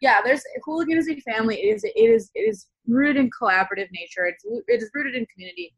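A young adult woman being interviewed, speaking casually and analytically, often halting and circling back to rephrase. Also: fairly high pitch, very animated, slightly rough, no echo, low-quality recording. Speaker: interview guest, zine co-founder.

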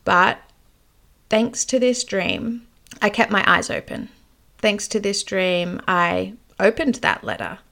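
Gravelly-voiced earnest woman storyteller. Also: mid-range voice, expressive, slow, sharp tone. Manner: earnest, heartfelt, reflective. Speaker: dream teacher and therapist. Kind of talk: personal storytelling to camera.

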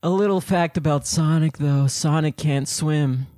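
A man voicing a lewd female mouse comedian, telling raunchy jokes and squeaking between punchlines. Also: unhurried, warm tone, no echo, clean studio sound, close to an everyday voice. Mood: cheeky. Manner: raunchy insult-comic delivery with squeaks. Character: lewd female mouse comic roasting a plumber. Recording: noisy recording.

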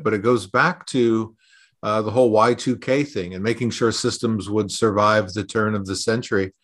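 Middle-aged male podcaster, average pitch, introducing and talking through his work. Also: a bit bright. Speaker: podcast host, consultant being interviewed as guest. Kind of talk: scripted podcast introduction and conversational interview answers.

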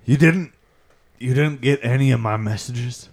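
A man's voice: medium pitch, a little slow. A man putting on a folksy, pushy Louisiana bayou man with a drawling accent and an aggrieved streak, in improvised comedy. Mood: indignant, insistent. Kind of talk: improvised comic character interview.

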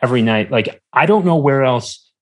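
A man's voice: mid-range voice, lively intonation. Podcast guest: man, mid-range voice, hesitant, casual, conversational. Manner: hesitant, searching for words, halting.

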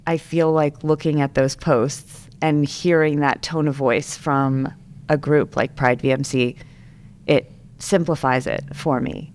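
Low-voiced enthusiastic woman host. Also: a little mellow, a little hiss or hum. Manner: enthusiastic, chatty. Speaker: podcast host. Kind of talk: casual interview chat.

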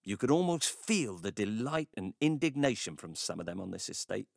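An actor playing a soft-hearted, indignant middle-aged man, confiding his story in a wry, colloquial audio drama monologue. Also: fairly low pitch, very animated, normal speed, creaky, no room sound, clean studio sound, full character voice. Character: warm-hearted, indignant Cornish neighbour, a doting husband.

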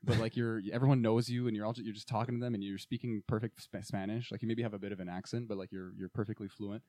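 Male co-host, low voice, rapid and rambling, dry mic. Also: little pitch movement, dark tone, dry recording. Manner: rambling, enthusiastic, many restarts. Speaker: podcast co-host. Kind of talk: casual podcast chat.

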